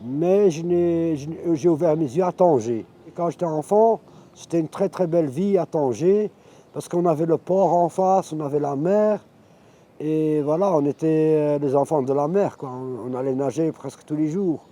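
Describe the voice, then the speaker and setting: A man in his fifties from Morocco, an Arabic speaker, telling his life story in a reflective, halting way. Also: fairly high pitch, dark tone, faint music behind. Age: 50s. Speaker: interviewee. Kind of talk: personal life testimony.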